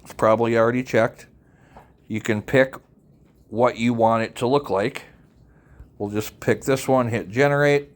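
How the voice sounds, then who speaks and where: A man narrating an unscripted software tutorial, informally walking the listener through it step by step. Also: mid-range voice, steady tone, unhurried, pauses often. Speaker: tutorial presenter.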